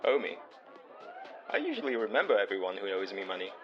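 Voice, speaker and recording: Guarded male psychic, dry banter, low lively voice, muffled drama recording. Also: brisk. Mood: wry, intrigued. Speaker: actor in an audio drama. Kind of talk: naturalistic horror fiction podcast acting.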